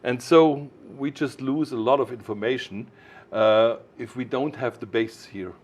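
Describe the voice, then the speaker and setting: A man with a mid-pitched voice talks through technical details like a designer presenting his own work. His delivery is informal but didactic, with halting phrases and firm emphasis on key points. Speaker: loudspeaker designer explaining his products.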